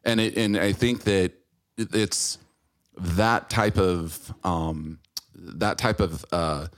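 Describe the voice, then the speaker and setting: A middle-aged American man hosting a podcast, speaking in an earnest, halting conversational way. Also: deep voice, steady tone. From Utah, United States. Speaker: podcast host. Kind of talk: conversational podcast interview with scripted-sounding introduction.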